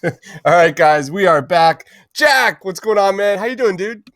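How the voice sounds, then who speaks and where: A man's voice: high-pitched, expressive, a little slow, fairly bright tone, no room sound, studio quality, soft background music. A man hosting a casual pop-culture podcast, talking informally and enthusiastically with plenty of slang. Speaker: podcast host.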